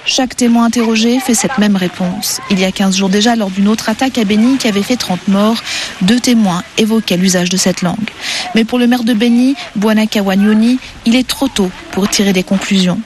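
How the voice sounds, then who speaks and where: A woman delivers a scripted field report in a measured reporter's style. Her voice sits at a middle pitch, with some background noise and ambient sound around it. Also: a little mellow. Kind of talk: scripted news report narration.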